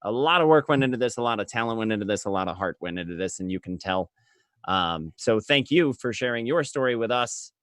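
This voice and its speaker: A man hosting a casual, excitable panel chat as interviewer. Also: on the low side, brisk, no room sound, studio quality. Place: remote group call from home.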